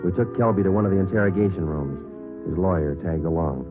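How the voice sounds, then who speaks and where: Low, clipped, deadpan American police sergeant played by a man. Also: fast, phone-quality sound, natural voice. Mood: matter-of-fact. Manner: clipped, deadpan, matter-of-fact. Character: male police sergeant, terse, unflappable, businesslike narrator. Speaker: actor playing lead police detective and narrator. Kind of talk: 1950s radio drama acting, clipped procedural narration. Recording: band-limited old radio recording with music.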